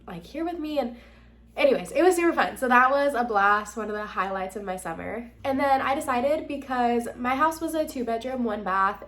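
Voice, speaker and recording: A woman in her twenties with an American accent, chatting candidly and casually as a vlogger, with a nasal, slightly congested voice. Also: medium pitch. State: slightly congested from being sick. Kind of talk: casual chat to camera.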